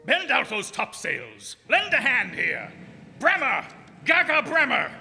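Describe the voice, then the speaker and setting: A man's voice: very high-pitched, huge pitch swings, slow, vocal fry, sharp tone, full character voice. A man playing a tyrannical, defiant old-world sea captain, bellowing imperious archaic orders in old-time radio drama style. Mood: commanding, urgent. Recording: reverberant hall, faint noise.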